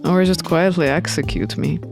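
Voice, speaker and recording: A woman's voice: lowish voice, normal speed, vocal fry, voice pushed down. A woman voicing a guarded, offhand exiled prince in a visual novel, speaking in a dry, blunt manner. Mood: dry, matter-of-fact. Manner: offhand, dry, matter-of-fact. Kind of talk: visual novel character reading. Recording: music under the voice.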